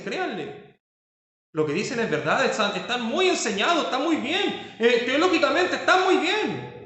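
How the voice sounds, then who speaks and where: Male pastor, high voice, emphatic sermon delivery, heavy room echo. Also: fairly bright tone. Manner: preaching with rising emphasis and rhetorical questions.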